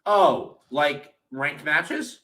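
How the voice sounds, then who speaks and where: A man's voice: fairly high pitch, expressive, extremely slow, bright, forceful tone. A man co-hosting a casual podcast, dropping wry, teasing quips. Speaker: podcast co-host.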